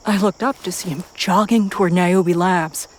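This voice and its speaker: A woman reading a first-person story as a dramatic narrator, with intense pauses and hushed, breathy phrases. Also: on the low side, steady tone, slight background noise. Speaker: narrator of a fan fiction audio story.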